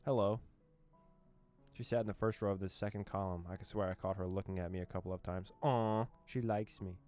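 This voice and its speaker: Deep-voiced young man reading a game, joking. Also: fairly even intonation, brisk, a little mellow, faint music behind. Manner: playful, joking, laughs often. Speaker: gaming YouTuber. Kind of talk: reading a visual novel aloud with commentary.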